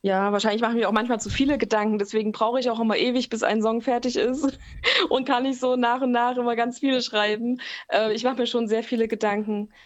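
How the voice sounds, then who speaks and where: A woman who writes and sings her own songs, a native German speaker, answering interview questions in a relaxed, thoughtful way with frequent fillers, heard over a remote call line. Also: a little high, fairly quick, muffled recording. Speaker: singer-songwriter guest. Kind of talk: casual interview talk.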